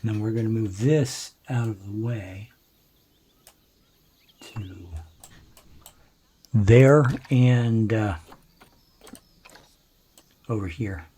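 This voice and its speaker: Slow, low-voiced older man. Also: dark tone, breathy edge. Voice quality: naturally low voice. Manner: unhurried, musing to himself while building. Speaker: gameplay video host. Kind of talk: relaxed let's-play commentary.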